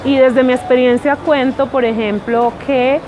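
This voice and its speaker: A woman in her thirties with a Colombian paisa accent recounts her experiences as a lawyer in an earnest, steady manner. Her voice sits a little high. Outdoor city sounds run faintly behind her. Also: a little slow, lo-fi sound, noisy background. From Pereira, Colombia. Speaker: lawyer interviewee. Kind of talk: personal testimony.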